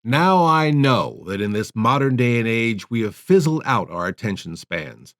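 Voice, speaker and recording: A man's voice: lowish voice, a bit bright. Wry male host with swooping intonation. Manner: wry, mock-sincere. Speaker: podcast host. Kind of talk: tongue-in-cheek podcast commentary.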